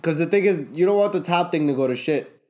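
A man's voice: a little high, fast, a little mellow. A young man co-hosting a podcast, explaining at length in casual chat with many restarts and fillers, recorded by a camera across a table. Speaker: podcast co-host. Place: room with a conference table.

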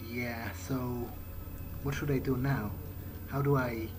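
A man's voice: mid-range voice, fairly even intonation, slow. A man role-playing his character in a video game playthrough, thinking aloud in a hesitant, musing way. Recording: loud game music behind voice. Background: game music.

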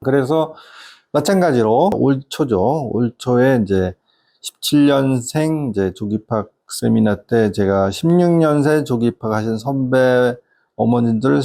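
A middle-aged man with a gravelly, creaky voice and a dark, relaxed tone. He speaks as a consultant hosting an informal explainer for parents. His delivery is earnest and discursive, pausing to find the next phrase. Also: medium pitch. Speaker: educational consultant and channel host.

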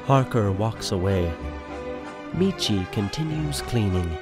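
A man's voice: on the low side, lively intonation, slow, over music. A man giving scripted, theatrical narration for a radio play.

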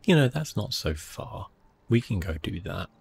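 A man giving relaxed ASMR gameplay commentary, thinking aloud with hesitant phrasing. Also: fairly low pitch, moderate pace, creaky, slight background noise. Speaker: gaming video host. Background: soft game music.

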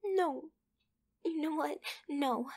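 A woman voicing a bratty, clingy, possessive young girlfriend in an ASMR roleplay, pouty and demanding, with a breathy, often whispery delivery. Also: high voice, darkish tone. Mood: insistent, possessive. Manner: pouty, demanding, halting, with sighs. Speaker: voice actress in a roleplay.

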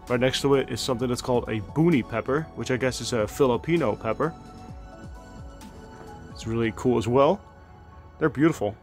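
A man giving a casual, enthusiastic tour of his home garden outdoors, chatting with fillers as he films on a handheld camera. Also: mid-range voice. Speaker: gardening channel host.

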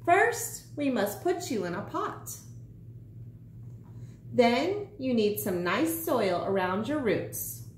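A woman's voice: on the high side, very animated, slow pace, echoey room, a little hiss or hum. A woman voicing a chirpy, instructive male magic gnome from a children's storybook. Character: male magic gnome, chirpy, matter-of-fact, a little sly.

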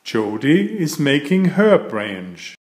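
Male storybook narrator for young children, unhurried and animated in pitch, mid-pitched voice, recorded in an echoey room. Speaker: storyteller and channel host. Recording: roomy, echoing room sound.

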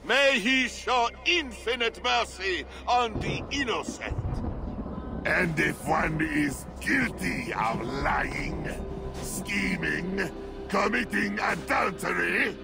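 Stern king booming slow over music, gravelly edges in a game cutscene. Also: full character voice. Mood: proclaiming. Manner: loud, slow, declamatory. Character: pious, menacing medieval king, stern middle-aged man. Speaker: king presiding over a trial. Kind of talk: video game cutscene acting.

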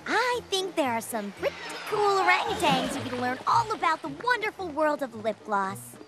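A woman's voice: sits high, sing-song, moderate pace, loud music behind the voice. A woman voicing a sensible, earnest young girl in a cartoon, pleading and reasoning as she talks. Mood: concerned.

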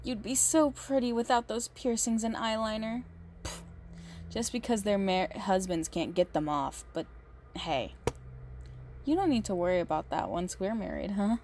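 A woman playing a big, muscular goth girlfriend, possessive and unhinged yet doting, in an intimate, halting ASMR roleplay monologue. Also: medium pitch, a little mellow, a little hiss or hum, natural voice. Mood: cosy, confiding. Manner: slow, halting, doting, menacing under sweetness.

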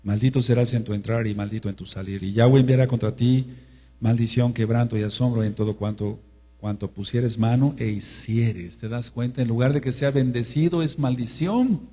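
A man preaching a sermon in an emphatic manner, rising to loud exclamations, with a husky voice. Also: medium pitch, fairly even intonation, normal speed. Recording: mains hum.

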